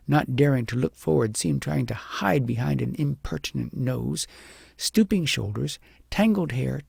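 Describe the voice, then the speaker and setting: Very gravelly, husky male storyteller. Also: medium pitch, darkish tone, no room sound, clean studio sound. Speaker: audiobook narrator. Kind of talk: expressive storybook narration.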